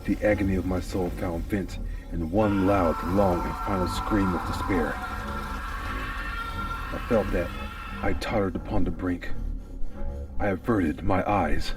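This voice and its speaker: Deep-voiced man narrating gothic horror, music underneath. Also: fairly even intonation, a little slow, pauses often, recorded from a distance. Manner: theatrical, anguished, intense delivery. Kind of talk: dramatic first-person audiobook narration of gothic horror.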